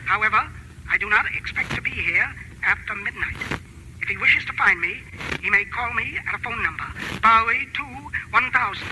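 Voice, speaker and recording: An actor playing a meek, precise, courteous chemist in an old-time radio drama. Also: male voice, high voice, noisy background, natural voice. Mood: grave, courteous. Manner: formal, polite, deliberate. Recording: old radio recording with music.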